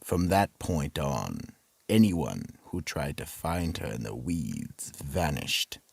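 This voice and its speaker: A man with a husky, gravelly voice, ominously narrating and announcing a scripted horror radio drama. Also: very deep voice, dry recording. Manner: slow, ominous.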